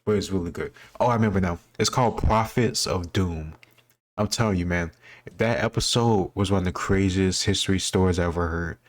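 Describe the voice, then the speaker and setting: A man's voice: low-pitched, fairly quick. A man speaking informally as a host, reacting casually and thinking aloud as he answers quiz questions.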